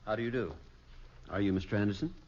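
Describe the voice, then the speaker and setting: An actor playing a polite, patient upholsterer in an old radio comedy, hesitant and a bit confused. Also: male voice, lowish voice, fast, natural voice. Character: polite, puzzled male furniture upholsterer. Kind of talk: 1950s radio comedy acting. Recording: dull old radio recording, loud hiss and clicks.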